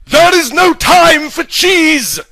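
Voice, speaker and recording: A man voicing a bossy old wizard who gives orders loudly and urgently. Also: very high voice, lively intonation, slow, no room sound, clean studio sound, pitched above the performer's own voice, exaggerated voice. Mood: commanding. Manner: loud, commanding, urgent.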